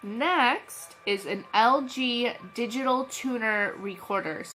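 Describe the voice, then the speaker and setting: A woman's voice: on the high side, slow, bright, forceful tone, slight background noise, rough recording. Chatty woman with a cold. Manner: chatty, scattered, lots of ums and restarts. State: has a cold. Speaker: channel host, reseller. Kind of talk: casual vlog chat.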